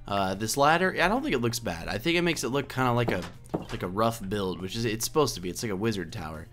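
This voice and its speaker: A slightly hoarse man giving a casual, rambling let's play commentary, thinking aloud and correcting himself as he goes. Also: on the low side, expressive, fast talker, fairly bright tone, soft background music. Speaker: video game let's play host.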